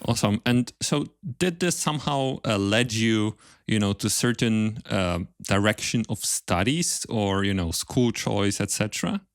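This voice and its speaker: A man hosting an interview, speaking in a halting, conversational way as he checks his understanding. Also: on the low side, expressive, dry recording, clean studio sound. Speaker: podcast host, interviewer.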